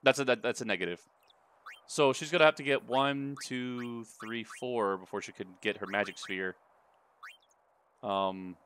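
A man giving casual, crude and excitable commentary as he hosts a video game playthrough. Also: medium pitch, unhurried, pauses often, slight background noise. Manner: crude, sweary, excitable reactions. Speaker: let's play host. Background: video game audio.

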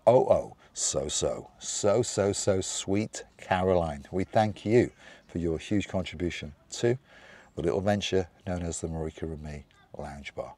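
A chatty middle-aged man talks easily to his viewers. His voice sits very low and sounds mellow and warm. He hands out playful shout-outs full of made-up lords and ladies. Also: unhurried. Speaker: vlog host. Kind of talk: casual chat to camera.

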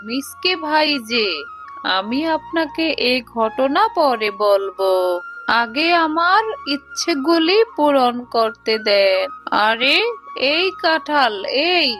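A scheming cartoon tigress, acted out by a woman, high in pitch and slow of speech. She is a greedy neighbour who measures out her words over a music bed. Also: expressive, fairly bright tone, heavily acted. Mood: greedy, demanding. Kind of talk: children's cartoon voice acting. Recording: music behind the voice.

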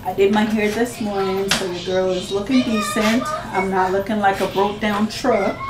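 A woman in her thirties with a faint Jamaican accent, talking casually to her camera in a slow, relaxed, chatty way. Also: mid-range voice, reverberant, background noise. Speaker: vlogger. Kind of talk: casual vlog chat. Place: living room indoors, then a parked car.

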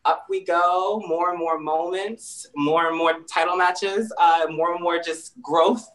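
A person in their twenties, a wrestler and dancer from New Jersey, talking animatedly and laughing over a video call. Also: male voice, a little high, a little slow, poor audio. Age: 20s. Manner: animated, laughing, acts out quoted voices. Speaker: guest, professional wrestler and dancer. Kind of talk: casual interview on a video call.

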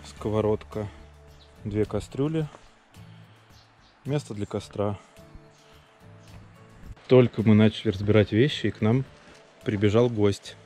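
A man's voice: lowish voice, dark tone. A man in his thirties narrating a travel vlog on location, speaking into a handheld camera. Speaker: travel vlogger.

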